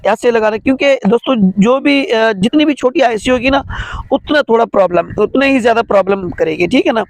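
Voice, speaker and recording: Guy with high kinda gravelly voice doing a repair tutorial, dry sound, stop-start, keeps checking in w viewers. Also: no echo. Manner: informal, halting, constantly checking in with listeners. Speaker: phone repair tutorial host. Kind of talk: step-by-step hands-on repair tutorial.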